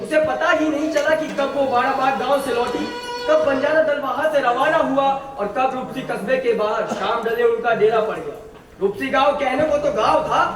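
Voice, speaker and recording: A man performing as a solemn storyteller, giving dramatic scripted narration for a folk stage play. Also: very high voice. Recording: echoey theatre hall from far off, music.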